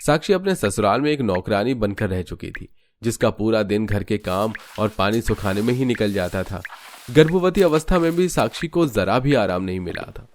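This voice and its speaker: A man narrating an animated moral story in a scripted storytelling style. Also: fairly low pitch, normal speed, slight background noise.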